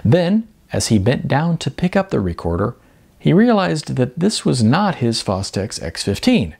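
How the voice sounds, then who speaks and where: A middle-aged man reading a story aloud as an expressive audiobook narrator. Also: medium pitch, a little slow, vocal fry, slightly rough. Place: bright furnished room indoors.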